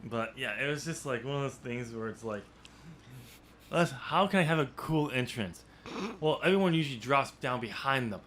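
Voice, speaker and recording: A man with an ordinary mid-pitched voice chats casually as a podcast host. He rambles and thinks aloud, stopping and starting as he works through ideas in an unscripted discussion. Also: animated, normal speed, fairly bright tone. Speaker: podcast host and amateur novelist.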